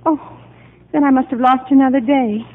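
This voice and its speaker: Confused fragile woman patient, high hesitant voice, old 1950 radio drama, tinny sound. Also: steady tone, moderate pace. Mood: childlike, anxious. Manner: hesitant, bewildered, childlike questioning. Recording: muffled narrow-band 1950 radio broadcast.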